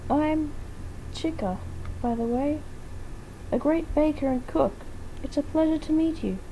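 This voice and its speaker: A woman's voice: high voice, a little slow, mellow, slight background noise, voice pushed up, low-quality recording. A woman voicing a polite, wistful female animatronic chicken who cooks, in an amateur fan fiction reading. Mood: a bit sad.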